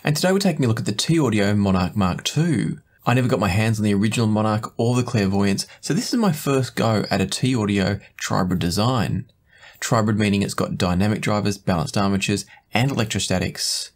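Low-pitched man explaining as a reviewer. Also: animated, a little fast. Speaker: host, audio gear reviewer. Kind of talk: product review to camera, measured explanation. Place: small indoor home studio room.

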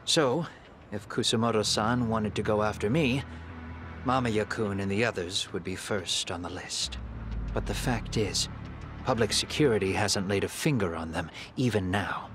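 An actor playing a grave, calculating middle-aged man in a video game drama, speaking in a measured, deliberate way. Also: on the low side, over music, natural voice. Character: composed middle-aged male fugitive avenger, calculating and grave.

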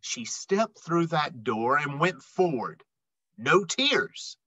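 A middle-aged man reading a children's picture book aloud expressively, with emphatic, dramatic phrasing and drawn-out words. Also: a little high, sing-song, pushed and bright, breathy edge, no echo, lo-fi sound. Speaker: read-aloud storyteller.

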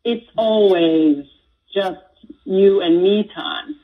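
A woman's voice: medium pitch, takes it slowly, breathy edge. A woman confronting her partner in conversational speech over a phone call. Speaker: caller confronting her boyfriend on radio. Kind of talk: confrontational relationship talk. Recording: slightly dull phone-call sound.

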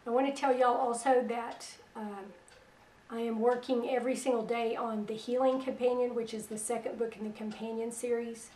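A middle-aged woman hosting religious internet radio. Her voice is weak after a stroke, and she talks in a soft, plain-spoken, unhurried way. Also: fairly high pitch, flat delivery, roomy sound, recorded from a distance, a little hiss or hum, low-quality recording. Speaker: radio host. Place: small room at home, indoors. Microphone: headset.

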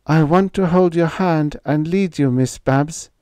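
A man's voice: fairly high pitch, a little slow, a little mellow, clear, resonant tone, no echo, pitched above the performer's own voice, lightly acted. A man voicing an earnest, formal robot. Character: huge male robot, plain and earnest.

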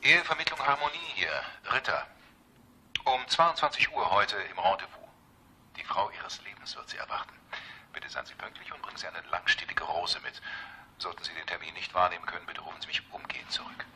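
Male, very low, hard bright tone: formal, discreet agency man, radio drama phone message. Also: brisk, slight background noise. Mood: hushed, formal.

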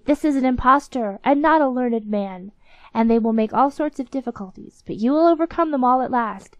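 A woman's voice: sits high, no room sound, pitched above the performer's own voice. A woman voicing an old ragged beggar woman in a storybook tale, emphatic in the way she pleads and instructs. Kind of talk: storybook character voice.

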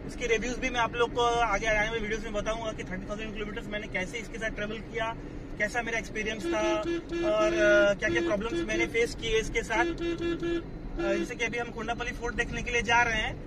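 A man's voice: sits high, brisk, over a noisy crowd. A young man, a vlogger, chatting casually about his trips while driving, slipping English phrases in with hesitant fillers. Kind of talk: casual travel vlog chat. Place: inside a moving car, then outdoors at a fort. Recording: noisy road and car background.

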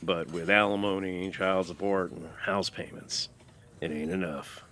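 A man playing a world-weary tough guy, narrating a motion comic in a terse, deadpan way. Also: low voice, a little slow, a little hiss or hum. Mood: weary, flat.

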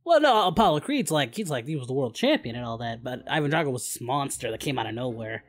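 A man's voice: mid-range voice, expressive, quick pace, natural voice. A man voicing a parody of an anime martial-arts hero, cocky, playful and flirty, in improvised banter. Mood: easygoing, confident. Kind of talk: improvised in-character gaming banter.

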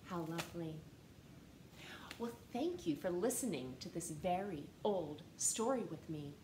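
A woman in her thirties reading a picture book aloud to children in an expressive, gentle way, seated on a couch at home. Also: on the low side, a little slow. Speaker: storytime reader. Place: living room, seated on a couch. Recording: echoey room with faint hiss.

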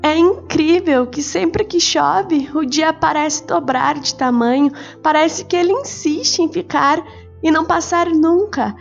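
Storybook mother: soft, patient, poetic, raised and tender in tone, played by a woman narrator. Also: high voice, unhurried, creaky, pitched above the performer's own voice. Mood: soothing. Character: gentle, patient mother, wistful. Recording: slightly muffled with soft music.

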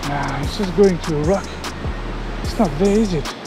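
A man in his thirties from France, vlogging casually outdoors on a jungle trail with a handheld action camera, chatty and excitable, sweaty and a little out of breath from climbing. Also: high-pitched, normal speed, music in the background. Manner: chatty and excitable, talks to viewers as guys, swears. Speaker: travel vlogger. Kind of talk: casual vlog commentary. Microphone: handheld action camera at arm's length.

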